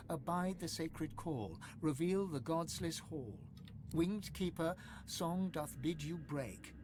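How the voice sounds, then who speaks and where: An actor playing a solemn storybook narrator in a fantasy game, reading scripted lines in a measured, dramatic way. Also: male voice, a little high. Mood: incantatory.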